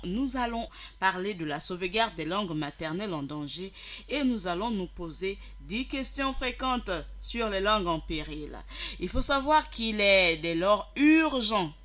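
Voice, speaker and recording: A man's voice: high-pitched, sharp tone. A man presenting a radio show, teaching listeners directly and repeating key phrases for emphasis. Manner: didactic, addresses listeners directly. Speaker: radio host.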